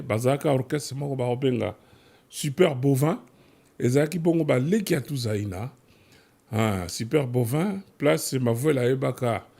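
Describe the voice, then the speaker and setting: Gravelly, mellow Congolese man presenting. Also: medium pitch. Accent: Congolese, Kinshasa. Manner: switches between French and Lingala. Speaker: host of a music history programme. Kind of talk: conversational presenting and storytelling.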